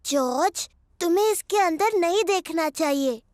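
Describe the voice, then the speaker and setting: A small girl piglet for a children's cartoon. The voice is extremely high and childlike, with slow delivery. She is playful, giggly and bossy. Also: expressive, noisy background. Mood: bossy, proud.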